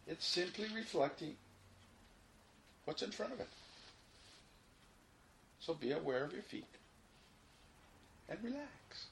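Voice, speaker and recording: A man leading a guided meditation, giving unhurried, repeated instructions with long pauses between them. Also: sits high, expressive, vocal fry, a bit bright. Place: informal indoor room. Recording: roomy echo, faint background noise.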